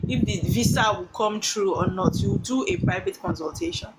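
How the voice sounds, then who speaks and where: A woman taking callers as a spiritual consultant, talking in an animated way and calling people darling. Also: medium pitch, normal speed. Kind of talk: live call-in chat. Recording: noisy line with clicks.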